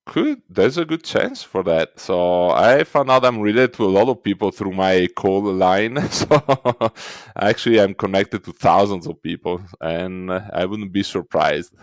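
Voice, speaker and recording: A man's voice: low voice, measured pace, dry recording, studio quality. A man with a thick Italian accent, a guest in an interview, explaining things step by step with frequent "uh" fillers. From Italy. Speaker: guest, records indexing team lead. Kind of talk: conversational interview.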